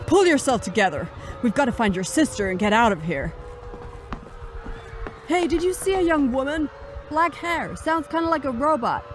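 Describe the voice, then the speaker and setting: Brisk, dry space adventuress, animated delivery. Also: female voice, high-pitched, a little hiss or hum. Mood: urgent. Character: brisk, sceptical adventuress Vale, telling her own space tale. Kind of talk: pulp sci-fi audio drama acting.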